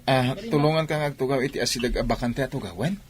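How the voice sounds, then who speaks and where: An actor playing a polite, sweet-talking young student in a radio drama, with a Filipino accent. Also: male voice, mid-range voice, fast talker, a crowd in the background, close to an everyday voice. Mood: solicitous. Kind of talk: Ilocano radio drama acting.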